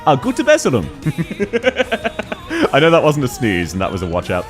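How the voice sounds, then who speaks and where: A man with a thick Australian accent, chatting casually and quickly as a game streamer, laughing often while reading chat aloud. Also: mid-range voice, soft background music. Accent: Australian, unusual variety. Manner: fast-talking, laughs often, animated.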